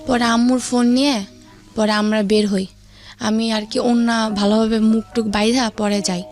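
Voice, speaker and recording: Young Bangladeshi female guest, higher-pitched, halting casual recounting, faint music underneath. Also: level tone, darkish tone. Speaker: interview guest.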